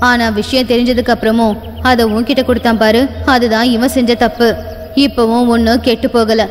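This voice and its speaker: A woman voicing a stern but reassuring old sorceress grandmother in an animated horror bedtime story, giving firm orders and solemn incantations. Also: a little high, a little fast, big-room sound, lightly acted.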